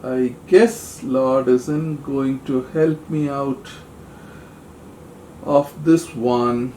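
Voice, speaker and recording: A man playing a frightened, devout soldier in a puppet show, speaking in a halting, dramatic monologue. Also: mid-range voice, dark tone, roomy sound, slight background noise. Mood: resigned, pleading. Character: frightened, devout soldier trapped in a cave, praying. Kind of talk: puppet show story acting.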